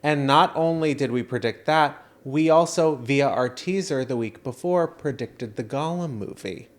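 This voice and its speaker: A man's voice: mid-range voice, normal speed. Tongue-in-cheek man hosting a comedy podcast. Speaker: podcast co-host. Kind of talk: casual comedic podcast chat.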